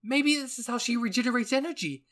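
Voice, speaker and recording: A man voicing an excitable, stubborn scientist woman who thinks aloud eagerly, in an anime fan-fiction reading. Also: very high-pitched, fast, voice pushed up. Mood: excited musing. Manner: breathless enthusiasm, thinking aloud.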